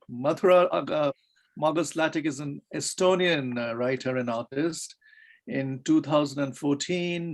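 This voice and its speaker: Gravelly man introducing poets. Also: medium pitch, a little mellow. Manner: measured, formal introductions. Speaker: host.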